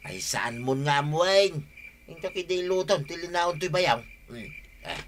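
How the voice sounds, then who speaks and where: A man's voice: fairly high pitch, lively intonation, measured pace, fairly bright tone, a little hiss or hum. An actor playing a sly, boastful married man in a Filipino radio drama in Ilocano, with a cocky, chuckling delivery. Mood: teasing, smug.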